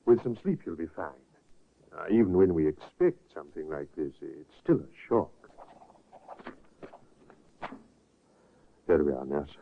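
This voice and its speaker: A male doctor in an old radio mystery, with a low voice that rises and falls freely. He is measured and reassuring at the bedside, with quiet menace, on a muffled, phone-like recording. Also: sing-song, slightly rough, heavily acted. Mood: soothing, solicitous. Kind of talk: 1950s radio mystery acting.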